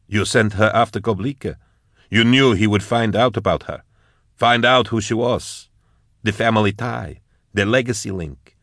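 A man voicing a bitter, grieving old agent in an audiobook, speaking in a measured, accusing way. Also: lowish voice, a bit bright, no echo, studio quality. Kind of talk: audiobook character acting.

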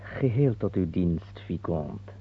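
A man playing a scheming, obsequious baron in an old radio play, with a measured, theatrical delivery. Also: low-pitched, animated, husky, heavily acted. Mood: conspiratorial. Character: scheming, servile male baron at court. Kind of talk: old radio drama acting. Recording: phone-like old radio recording, faint hum.

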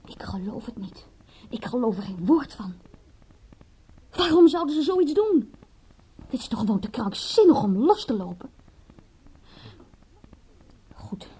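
An actress playing an agitated, stubbornly disbelieving young woman in a radio drama, emphatic and sometimes dropping to a breathy murmur. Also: fairly high pitch, very animated, a little slow, pauses often, mellow, exaggerated voice. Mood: shocked disbelief.

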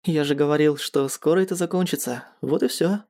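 A narrator voices a young male lover in an audiobook romance. He sounds calm and self-satisfied, tender yet cocky, with no trace of regret. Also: mid-range voice, fairly quick, close to an everyday voice.

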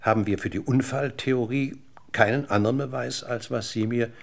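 An actor playing a courteous, measured, persistently probing police inspector in a 1960s German radio play. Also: male voice, mid-range voice, steady tone, gravelly. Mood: insinuating. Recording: noisy 1960s radio recording, clicks.

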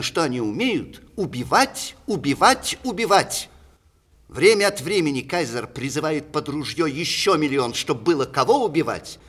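Old witty playwright, opinionated, ironic, very animated pitch, old russian radio theatre style. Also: male voice, slightly rough. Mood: indignant, ironic. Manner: declamatory, rhetorical, ironic. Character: witty, opinionated ageing male playwright writing letters. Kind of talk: 1960s Russian theatre radio play acting.

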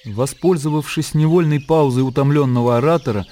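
A man's voice: fairly high pitch, brisk, mellow, slight background noise. A man reading scripted literary narration as the narrator of a radio drama.

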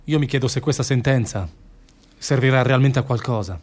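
A man's voice: mid-range voice, brisk, close to an everyday voice. Actor playing a troubled, brooding male prosecutor. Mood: grave, questioning. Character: troubled, doubting male public prosecutor haunted by nightmares. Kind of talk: theatrical radio drama dialogue.

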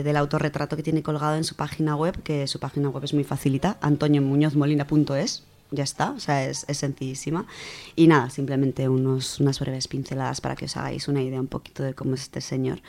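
A woman's voice: deep voice, little pitch movement, a little fast, mellow. A woman with an accent from Spain presents an informal book segment in a chatty, colloquial, enthusiastic way. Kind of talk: informal spoken book review.

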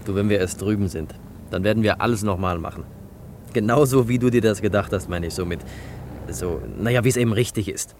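An actor playing a gentle, earnest young bridegroom in a serious radio drama, plain-spoken and reassuring. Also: male voice, on the low side, fairly quick, slight background noise, lightly acted. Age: young adult.

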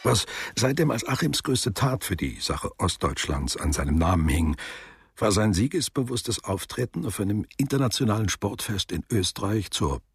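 A man narrating a literary radio drama in measured, deliberate phrases. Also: lowish voice, animated, gravelly, slightly rough, no echo.

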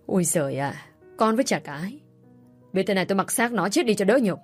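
A woman voicing an angry older father who scolds and disowns his daughter, shouting. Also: medium pitch, animated, fast, soft background music, lightly acted. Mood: exasperated. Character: furious old village father disowning daughter.

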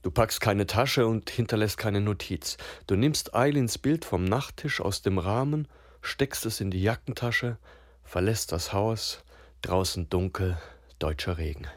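An actor voicing a grim, detached narrator who speaks tersely in a radio drama. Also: male voice, lowish voice, expressive, a little slow, dry recording, clean studio sound. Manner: terse, matter-of-fact.

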